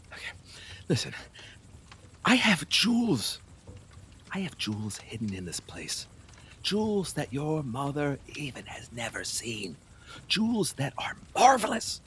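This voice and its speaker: An actor playing a lustful, fearful, superstitious middle-aged king in an audio play, husky, wheedling and erratic, with nervous laughs and halting repeats. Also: on the high side, wildly swooping pitch, fairly bright tone, slight background noise, full character voice. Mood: anxious, coaxing. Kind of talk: theatrical audio play acting.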